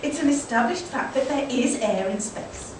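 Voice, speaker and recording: A woman's voice: on the high side, lively intonation, moderate pace, close to an everyday voice. A woman playing an eager, condescending Victorian professor's daughter in a live comic radio play. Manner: condescending, exclamatory. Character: eager, haughty Victorian professor's daughter, confident in nonsense science. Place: live convention performance in an echoey room. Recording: roomy echoing hall, distant mic, faint noise.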